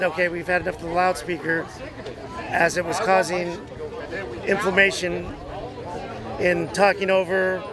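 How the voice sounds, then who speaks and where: An American man, a rally organizer, explaining things haltingly in an unscripted outdoor interview. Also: sits high. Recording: outdoor crowd noise behind voice. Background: crowd chatter.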